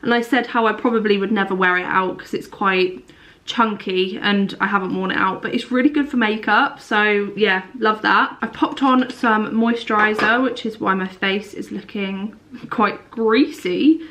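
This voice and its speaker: A woman's voice: mid-range voice, moderate pace, a bit bright. A young woman chatting casually to camera at home for her vlog, sounding congested and sniffly from a cold. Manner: chatty, rambling. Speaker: lifestyle vlogger.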